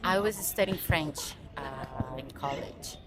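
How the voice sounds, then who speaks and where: A woman's voice: sits low, slow pace. A woman from Rio de Janeiro with a Brazilian Portuguese accent, a native Portuguese speaker, chatting relaxedly in a street interview. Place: outdoors on a city street. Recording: busy crowd around her.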